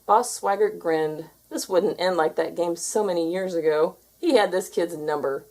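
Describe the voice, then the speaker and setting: A woman reading a children's story aloud as an expressive narrator. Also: lowish voice, moderate pace. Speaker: storybook reader.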